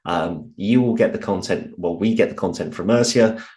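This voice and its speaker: Low-voiced British man, slightly croaky. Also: moderate pace, a little mellow. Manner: informal, frequent ums and restarts. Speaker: webinar presenter, software trainer. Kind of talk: live software training webinar.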